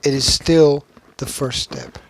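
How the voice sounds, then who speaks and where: A man's voice: fairly high pitch, fairly even intonation, takes it slowly, a little hiss or hum, poor audio. A man co-hosting a casual podcast, bantering in a teasing, matter-of-fact way. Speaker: podcast co-host.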